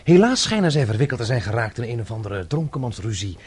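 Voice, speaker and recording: An actor playing a dry government official in a radio play, speaking formally with polite irony. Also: male voice, medium pitch, big pitch swings, quick pace, darkish tone, breathy edge. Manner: dryly ironic, formal.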